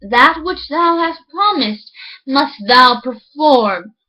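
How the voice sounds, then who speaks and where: A woman's voice: sits high, lively intonation, slow pace, pushed and bright, exaggerated voice, poor audio. A woman voicing a stern, fatherly king who makes solemn, formal pronouncements in a storybook. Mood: commanding.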